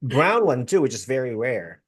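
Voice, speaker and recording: A man hosting an interview over a video call, quick to praise and laugh. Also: medium pitch, big pitch swings, husky, no room sound, clean studio sound. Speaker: interview host. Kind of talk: casual interview chat.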